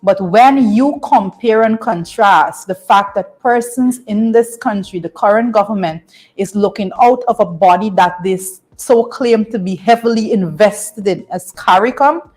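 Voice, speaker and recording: A woman's voice: mid-range voice, measured pace, darkish tone. Woman cohost, emphatic and laughing a lot, medium voice. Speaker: co-host. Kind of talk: casual current-affairs chat.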